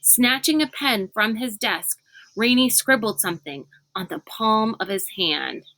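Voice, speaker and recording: Bright-voiced woman reading a storybook, unhurried. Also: fairly high pitch, expressive, poor audio. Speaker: storybook reader. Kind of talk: scripted narration, reading a children's novel aloud.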